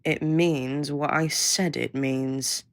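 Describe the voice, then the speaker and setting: A woman's voice: deep voice, fairly even intonation, slow. A woman acting a snarky, impatient scientist with a blunt, sarcastic manner in an audio roleplay. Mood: grudging, dismissive. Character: snarky, impatient female scientist, grudgingly welcoming.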